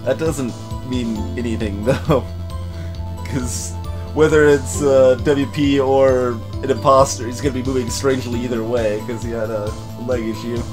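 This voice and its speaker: A man's voice: mid-range voice, steady tone, moderate pace, music in the background. A man giving casual commentary as a let's play streamer, thinking aloud as he plays.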